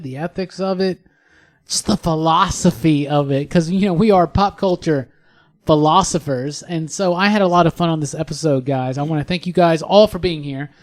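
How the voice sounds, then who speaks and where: A man hosting a podcast in a conversational, effusive way, rephrasing his points for emphasis. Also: high voice. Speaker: podcast host.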